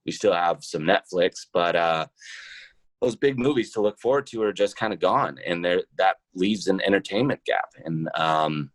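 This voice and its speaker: A man speaking casually as an interview guest, pausing often to think as he goes. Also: low-pitched, no echo. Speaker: podcast guest, role-playing show founder and trucker.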